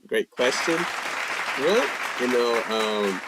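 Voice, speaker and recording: A man's voice: medium pitch, big pitch swings, slow pace, over a noisy crowd, very noisy. A man hosting a live language-practice stream, reading viewer questions from the chat and answering them casually with lots of fillers. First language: English. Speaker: livestream language host.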